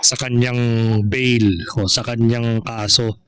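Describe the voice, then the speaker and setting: A man speaks as a vlogger commenting on gossip for his followers. His pitch sits in the middle and his pace is slow. He moves haltingly, circling back over points and checking in with short rhetorical questions. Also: fairly even intonation.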